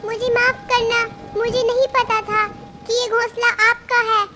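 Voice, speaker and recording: A woman's voice: very high-pitched, flat delivery, measured pace, over music. A woman voicing a small, timid female bird who pleads and apologizes in a cartoon story. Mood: pleading.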